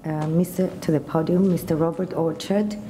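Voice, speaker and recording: A woman moderating a conference who introduces the next speaker in a measured, formal way. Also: low-pitched, fairly even intonation, warm tone, slight background noise. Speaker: event moderator. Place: conference hall.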